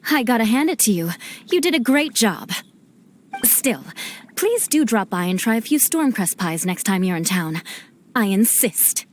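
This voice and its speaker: A woman's voice: on the high side, sing-song, a little slow, a little hiss or hum. A woman playing a poised, witty young gourmet in a video game, speaking in a formal, gracious way. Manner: formal, wordy. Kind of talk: video game cutscene acting.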